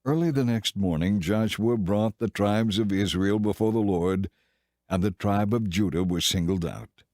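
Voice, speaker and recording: Low-voiced man narrating scripture. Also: moderate pace, darkish tone, dry recording, studio quality.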